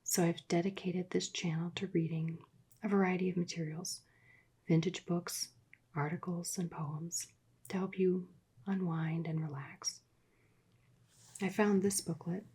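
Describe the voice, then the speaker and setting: A woman reading aloud in a soft, soothing, soft-spoken ASMR style that often drops into whispers. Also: on the low side, slightly rough. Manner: soft, slow, drifting into whispers. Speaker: ASMR reader.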